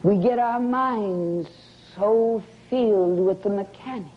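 A woman preaching a revivalist sermon in a dramatic, emphatic manner, drawing out words and dropping into breathy whispers, in a sanctuary. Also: medium pitch, slow. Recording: dull, noisy lo-fi archival sermon recording.

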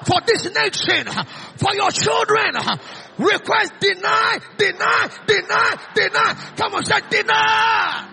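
A man with a Ghanaian accent preaching a sermon, calling out lines for the congregation to repeat, dropping low and then rising to shouts. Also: fairly even intonation, takes it slowly, creaky. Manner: fiery call-and-response preaching, swings from hushed to shouting.

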